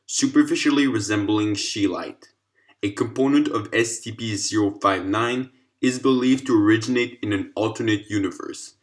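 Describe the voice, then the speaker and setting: A man with a fairly low voice narrates a written case file. His delivery is formal and clinical, as scripted scientific narration. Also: fairly bright tone.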